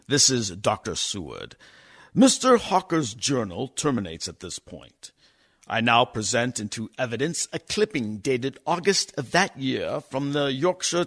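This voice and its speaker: An actor playing a grave doctor who narrates evidence in a radio play, measured, deliberate and full of suspense. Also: male voice, mid-range voice, creaky, fairly bright tone, exaggerated voice. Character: grave male doctor presenting evidence, reading a news account.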